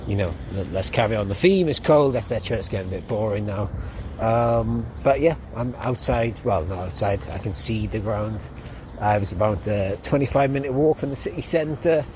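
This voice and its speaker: A man's voice: lowish voice, moderate pace. A young man, a podcast host, chatting casually in a rambling way with lots of ums while walking outdoors through a city. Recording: outdoor street noise. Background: street and traffic noise.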